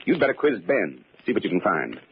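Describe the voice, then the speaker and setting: An actor playing a shrewd, brisk amateur sleuth offering help in an old-time radio mystery, animated and conspiratorial. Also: male voice, on the low side, breathy edge. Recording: tinny old radio recording.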